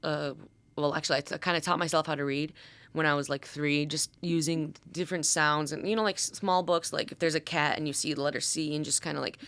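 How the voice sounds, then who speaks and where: An American woman in her twenties chatting casually as a podcast guest, informal and rambling, with animated storytelling. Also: low-pitched, brisk. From Detroit, Michigan, USA. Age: 20s. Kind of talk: casual chat.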